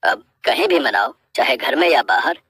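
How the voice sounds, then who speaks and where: Woman playing a kindly, gravelly older father. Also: fairly low pitch, huge pitch swings, moderate pace, fairly bright tone, no room sound, full character voice. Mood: conciliatory. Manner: mild, reasoning.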